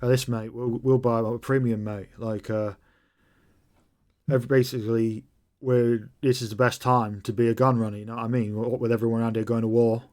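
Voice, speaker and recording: A gruff mutant gun runner, as a game master puts him on, talking bluntly and peppering his speech with curses. He rambles in a middle-pitched voice, all business and impatience. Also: level tone, brisk, darkish tone, close to an everyday voice. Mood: businesslike.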